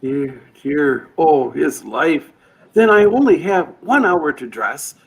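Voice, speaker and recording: A man playing a fussy, eager apprentice angel in a radio play, flustered and stammering, with a husky voice. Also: a little high, animated, takes it slowly, heavily acted, low-quality recording. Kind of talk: live radio play acting.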